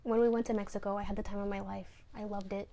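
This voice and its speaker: Mellow-voiced young woman musing aloud. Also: mid-range voice, flat delivery, fast talker. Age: young adult. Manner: reflective, many fillers, drops to a near whisper at times. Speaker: podcast co-host. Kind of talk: candid personal chat. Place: bedroom, seated on a bed.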